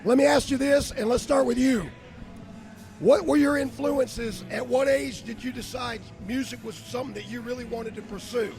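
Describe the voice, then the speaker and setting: High gravelly dude interviewing a band outside, loud, music going behind. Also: male voice, fairly even intonation, normal speed. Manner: loud, chatty, name-dropping. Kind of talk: casual interview. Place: outdoors at a noisy event. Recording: outdoor mic with music behind.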